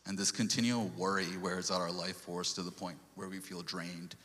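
Low-voiced man giving a church testimony. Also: little pitch movement, moderate pace, bright, forceful tone, long reverb tail, slight background noise. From Sri Lanka. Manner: earnest, with restarts and quoted scripture. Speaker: lay speaker giving a testimony.

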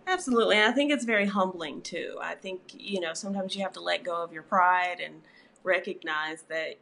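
A woman's voice: fairly low pitch, brisk, creaky. Middle-aged woman, therapist guest, reflective and conversational, filler-heavy. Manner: warm, reflective, frequent "you know" fillers. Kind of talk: conversational interview.

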